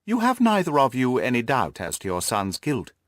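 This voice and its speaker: A man voicing an incisive, composed gentleman detective in an audiobook, questioning and reasoning with precise emphasis. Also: medium pitch, huge pitch swings, normal speed, no room sound, clean studio sound. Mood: probing. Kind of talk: audiobook character acting.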